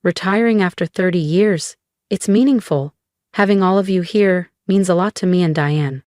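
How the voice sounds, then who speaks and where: A woman voicing a gruff retiring uncle, curt and flat. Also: fairly low pitch, normal speed, no echo, clean studio sound, natural voice.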